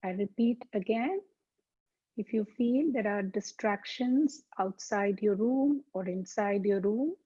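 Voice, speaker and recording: A woman teaching yoga as a slow guided meditation, speaking calmly and deliberately with soothing pauses. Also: medium pitch, husky. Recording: dull, muffled lo-fi sound.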